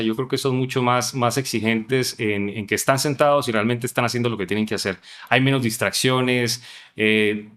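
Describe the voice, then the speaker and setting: A Colombian man speaks as an interview guest at a quick clip. His voice sits at a medium pitch, and he explains his points conversationally, often checking in with little question tags. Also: a bit bright. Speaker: podcast guest, international businessman.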